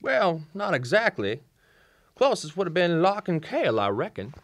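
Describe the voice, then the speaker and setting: An actor playing a kindly old small-town Southern country doctor in a radio play, with a folksy, drawling storyteller's manner. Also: male voice, sits high, wildly swooping pitch, normal speed, fairly bright tone, no room sound, full character voice. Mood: folksy storytelling. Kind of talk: old-time radio drama acting. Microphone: shared vintage-style studio microphone.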